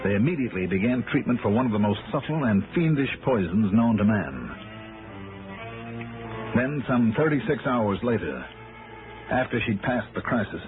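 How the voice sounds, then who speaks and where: An American actor playing a tough, brisk insurance investigator in 1960s radio noir, with clipped, businesslike delivery. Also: male voice, mid-range voice, big pitch swings, lightly acted. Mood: wry, clipped. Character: tough, brisk freelance male insurance investigator, hardboiled and wry. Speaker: lead actor and narrator. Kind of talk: 1960s American radio noir acting and first-person narration. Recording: vintage radio recording, narrow band, music behind.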